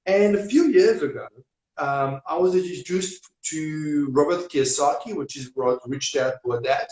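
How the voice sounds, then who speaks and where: A man with a Serbian accent, speaking as an energetic guest in a casual live-stream interview, with non-native grammar and repetition. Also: fairly high pitch, measured pace. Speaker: business guest. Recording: echoey room.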